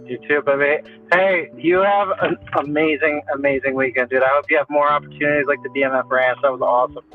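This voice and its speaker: A man's voice: a little high, fairly even intonation, quick pace. A man hosting a podcast in casual, slangy sports banter, often repeating phrases in a bro-ish way. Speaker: podcast host. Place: remote phone call. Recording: tinny phone line, faint music.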